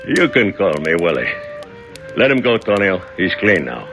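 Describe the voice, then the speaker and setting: A man playing a burly, mock-courteous Italian nightclub bouncer with quiet menace and an Italian accent, in a radio drama. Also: sits low, very animated, moderate pace. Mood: quietly menacing. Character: burly, smooth Italian waterfront-club bouncer in a tuxedo. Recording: music behind the voice.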